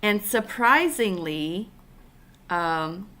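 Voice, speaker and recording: A woman from Taiwan hosting a casual conversation over a video call, talking in halting bursts full of fillers and restarts. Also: medium pitch, lively intonation, bright, forceful tone. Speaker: podcast host.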